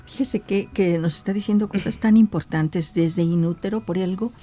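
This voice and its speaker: A woman's voice: medium pitch, moderate pace, dark tone. A woman interviewer with a Mexican Spanish accent who asks the guest questions in a courteous, formal way. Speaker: interview host.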